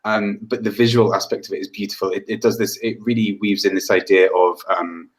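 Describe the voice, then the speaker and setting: A man's voice: fairly low pitch, fairly even intonation, a little fast. A man co-hosting a casual chat, hesitant and searching for words. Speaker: co-host.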